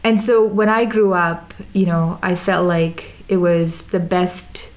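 A woman's voice: fairly low pitch, steady tone, slow. A woman from Sudan, raised in Dubai, telling stories casually in an interview, with many fillers and restarts. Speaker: interview guest. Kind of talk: casual conversational storytelling.

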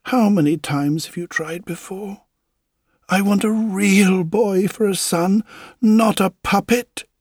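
A man's voice: high voice, slow, darkish tone, husky, no echo, studio quality, voice pushed up, heavily acted. A man voicing a sad, weary but loving woodcarver's wife in a storybook, with a mournful, sighing manner. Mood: weary, exasperated.

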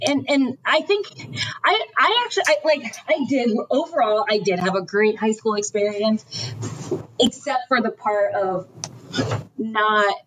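A woman's voice: a little high, lively intonation, a little slow, a bit bright, slight background noise, rough recording. A woman from Ohio in casual conversation, telling personal stories with animation and acting out the people she quotes. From Ohio, USA.

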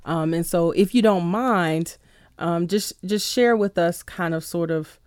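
A woman's voice: lowish voice, measured pace, a little mellow, dry recording, studio quality. A woman hosting a conversational radio interview, speaking effusively to her guest.

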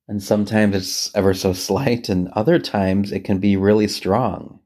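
A man with a lowish, soft-edged and relaxed voice leads a guided meditation as a priest would. He speaks gently and takes his time, leaving long pauses between phrases as he reads and reflects on scripture.

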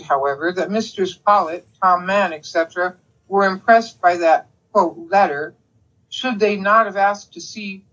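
A woman reading a polemical letter aloud as a podcast reader, in a dramatic style with emphatic, deliberate phrasing. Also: mid-range voice, fairly bright tone. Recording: dull lo-fi sound with music behind. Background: music.

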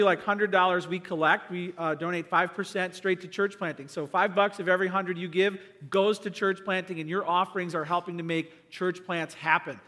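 A man's voice: high-pitched, sharp tone. A male pastor giving church announcements, upbeat and enthusiastic, with many "um"s and "uh"s.

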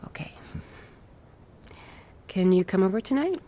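A woman's voice: medium pitch, very animated, measured pace, warm tone. An actress playing a wry, easily wounded female office colleague in an audio drama, speaking in a dry, clipped way. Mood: wry, guarded. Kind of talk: naturalistic audio drama acting.

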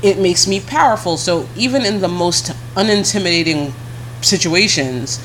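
A woman co-hosting a casual podcast chat, talking bluntly and frankly in a deep, heavy voice she keeps a little higher than her natural one. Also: normal speed, a bit bright, a little hiss or hum, rough recording. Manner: blunt, frank, swears, laughs often. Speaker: podcast co-host.

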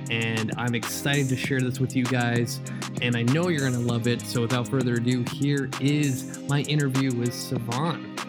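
A man's voice: mid-range voice, fairly even intonation, normal speed. A man hosting a casual podcast interview, talking in an upbeat, hyped-up way. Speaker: podcast host. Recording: loud guitar music behind.

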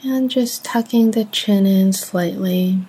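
Slow, husky woman guiding a meditation. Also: medium pitch, warm tone. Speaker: meditation guide. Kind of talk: guided meditation, slow instructional delivery with long pauses.

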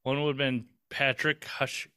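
A man's voice: mid-range voice, little pitch movement, unhurried, bright, forceful tone, smooth, no room sound, clean studio sound. A man co-hosting a podcast in casual chat, rambling and swearing casually with lots of restarts. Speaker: podcast co-host.

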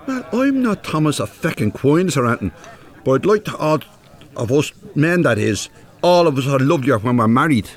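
A man's voice: fairly high pitch, sing-song, fairly quick. An actor playing a plain-spoken, easily irritated older man with a Dublin accent in a radio drama. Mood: exasperated. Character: rough-edged, easily irritated older widowed working man.

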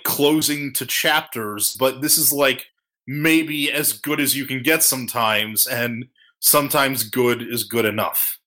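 A man's voice: mid-range voice, pushed and bright. A man hosting a casual panel discussion, talking in an informal, earnest and joking way. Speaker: podcast host.

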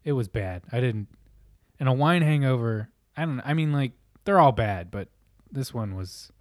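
A man co-hosting a casual chat, talking loosely and profanely with many restarts, his voice a little hoarse and raspy. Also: medium pitch, lively intonation, normal speed, a little mellow, dry recording, studio quality.

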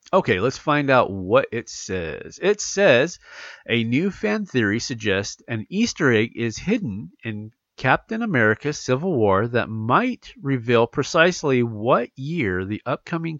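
A man's voice: mid-range voice, gravelly, fairly bright tone, dry recording, muffled recording. A man hosting a casual podcast, congested from allergies, rambling with many fillers and restarts as he reads out news. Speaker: podcast host. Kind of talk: casual podcast chat, reading news aloud.

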